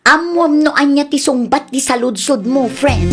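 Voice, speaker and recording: An actress playing a blunt, skeptical, teasing friend in a comedy radio drama. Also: female voice, sits high, normal speed, loud music behind the voice, natural voice.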